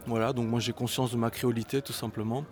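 A man's voice: medium pitch, little pitch movement, fast talker, darkish tone, soft background music. Reflective man. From Brussels, Belgium. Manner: reflective, with frequent hesitations. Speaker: musician guest. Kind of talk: interview answer.

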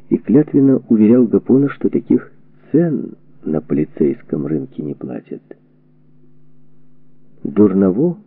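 A man reading a historical book as a scripted audiobook narration, in a measured, deliberate way with weighted pauses, on a narrow lo-fi recording. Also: low voice, vocal fry, slightly rough, dry recording. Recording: narrow phone-like band, lo-fi audio.

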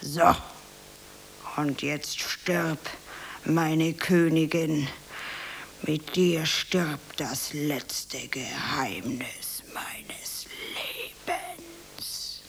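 A man playing a sinister, gloating lord and secret lover in a melodramatic radio play, with a gravelly, hushed, theatrical delivery. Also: fairly high pitch, sing-song, a little hiss or hum, full character voice. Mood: hushed, ominous. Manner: drawn-out, theatrical.

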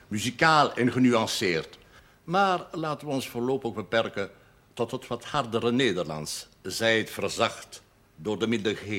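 A pompous older parish priest in a TV drama. His intonation swings widely as he delivers ornate, deliberate sentences with great self-satisfaction. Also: on the low side, a bit bright. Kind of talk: television drama acting.

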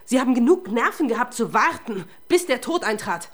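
A woman's voice: a little high, sing-song, brisk. A woman playing a tough, probing police inspector in a crime radio play, speaking in a pointed and controlled way. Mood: accusing, insistent. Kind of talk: German crime radio drama acting.

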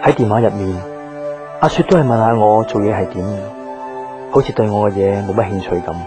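A man's voice: on the low side, a little fast, over music, close to an everyday voice. A man acting a reserved, doubting young boyfriend in a radio drama, understated and natural, with a Hong Kong accent. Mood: wistful narration. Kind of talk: Cantonese radio drama acting with inner monologue.

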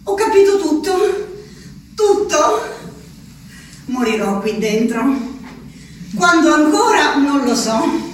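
An actress performing a slow theatrical monologue as a woman confined in an asylum writing to her husband, with a lilt. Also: high voice, very animated, slight background noise. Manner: slow, intimate, shifting between hushed and sing-song. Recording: echoey, distant radio theatre recording.

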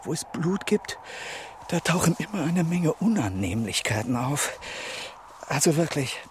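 A man's voice: a little high, sing-song, measured pace, a little hiss or hum. An actor playing a resigned, mildly puzzled man with a nonchalant manner in a radio play. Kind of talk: German radio play acting.

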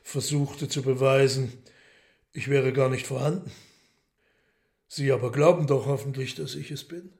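Urbane ironic Satan male actor, radio play: mid-pitched, gravelly, authoritative, probing. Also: fairly even intonation, normal speed, long pauses, a little mellow, lightly acted.

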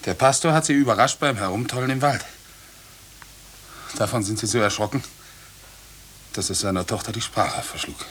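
An actor in a 1950s German radio play, playing a plain-spoken, stubborn and troubled villager with a halting, earnest delivery. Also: male voice, lowish voice, a bit bright, close to an everyday voice. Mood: earnest, reluctant. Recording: hissy 1950s radio recording.

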